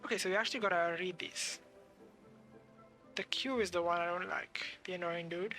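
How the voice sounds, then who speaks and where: A game streamer giving casual, halting commentary, thinking aloud while playing. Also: medium pitch, steady tone, bright, forceful tone, faint music behind. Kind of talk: casual let's play commentary.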